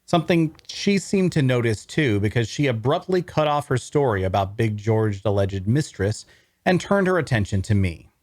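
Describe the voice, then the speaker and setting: Animated man narrating fantasy, dry sound. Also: mid-range voice, normal speed, dry recording. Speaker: voice actor. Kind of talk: first-person story narration, read from script.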